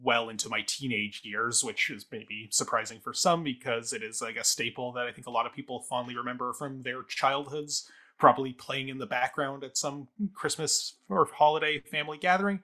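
Bright, forceful-voiced man chatting as co-host. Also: medium pitch, fairly even intonation, a little fast, hardly any pauses. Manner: wordy, discursive, playful. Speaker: talk show co-host and theatre reviewer. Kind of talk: casual conversational review.

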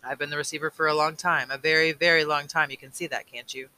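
Guy doing a tired old mentor man, slow and earnest, kinda high and really bright. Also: fairly even intonation, low-quality recording. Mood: solemn. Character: weary old male mentor, patient and burdened. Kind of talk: audiobook reading.

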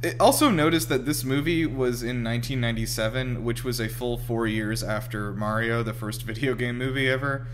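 A man's voice: medium pitch, fairly quick, hardly any pauses, a little hiss or hum. A man chatting casually as a podcast host, reacting to a film in an informal, exclamatory way and swearing freely. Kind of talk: casual chat, movie reaction commentary. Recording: film soundtrack playing loudly behind. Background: movie audio and music.

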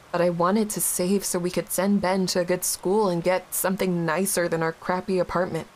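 An actress playing a young widowed mother, halting and raw with grief, in a dramatic dialogue. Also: lowish voice, slight background noise, close to an everyday voice, rough recording. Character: grieving young widowed mother, guilt-ridden.

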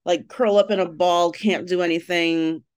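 A woman's voice: on the low side, fairly even intonation, normal speed, a little mellow, clear, resonant tone, dry recording, clean studio sound. A woman from Trinidad, a writer and blogger, chatting as a podcast guest and telling her story candidly with frequent laughs. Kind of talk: casual interview chat.

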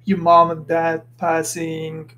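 High-voiced man, earnest and halting. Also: completely flat delivery, a bit bright, a little hiss or hum. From Lebanon. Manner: earnest, searching for words, restarts often. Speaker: podcast host. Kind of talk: casual motivational monologue.

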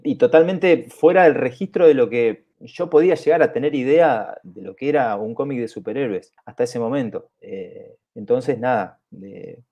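A man talks through a podcast chat at a meandering pace, stumbling over restarts and repeating words as he goes. His voice is dark and mellow. The recording sounds dull and lo-fi. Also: mid-range voice. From southern Chile. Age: 40s. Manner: rambling, many restarts and stammered repeats. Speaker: podcast co-host.

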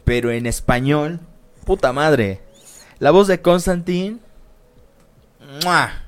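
A man's voice: a little high, animated, slow pace, clean tone. A man co-hosting a casual chat, slangy and full of swearing and fillers.

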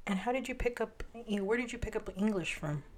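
A woman's voice: medium pitch, expressive, quick pace, darkish tone. A woman hosting a podcast, reading a scripted intro and then asking questions with brief, encouraging prompts. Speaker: podcast host.

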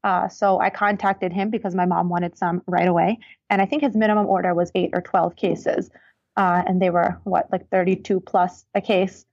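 A woman guest in a casual interview, chatting in a relaxed way with frequent ums, over a muffled remote line. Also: fairly low pitch, moderate pace, dry recording.

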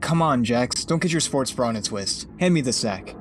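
A man's voice: medium pitch, lively intonation, normal speed, slight background noise, lightly acted. A man playing a cocky, reckless teenage boy in an audio drama, teasing, bossy and dismissive. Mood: flippant. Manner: flippant, bossy.

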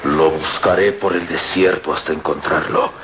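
An actor playing a proud, grieving Egyptian man bent on revenge, speaking gravely and formally in a declamatory radio serial style. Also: very deep voice, steady tone, normal speed. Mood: resolute, vengeful. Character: solemn, vengeful Western-educated Egyptian man, proud and grieving. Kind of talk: melodramatic radio serial acting. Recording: muffled noisy old radio recording.

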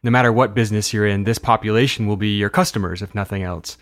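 A man's voice: fairly low pitch, steady tone, quick pace, a bit bright, no echo. A man hosting an interview who asks questions carefully and hedges his statements. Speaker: interview host. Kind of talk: interview conversation.

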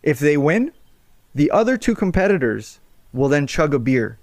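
A man's voice: a little high, sing-song, moderate pace, a little mellow. A man hosting a trivia game on a podcast, joking and often repeating his words as he reads questions. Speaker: quiz host.

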